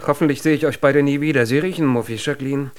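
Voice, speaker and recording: An actor playing a contemptuous courtier who insults as he flatters, florid and biting, in an absurdist radio drama. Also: mid-range voice, quick pace, clear, resonant tone, natural voice. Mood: bitter.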